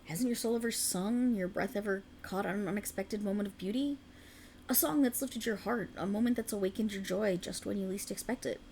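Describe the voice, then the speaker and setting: Creaky, coaxing ancient faerie woman, acted by a woman. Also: medium pitch, a little fast, mellow, a little hiss or hum, close to an everyday voice. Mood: courtly, enticing. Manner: soothing, formal archaic phrasing, coaxing. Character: ancient alluring female faerie, tender yet sinisterly possessive. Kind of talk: scripted ASMR roleplay.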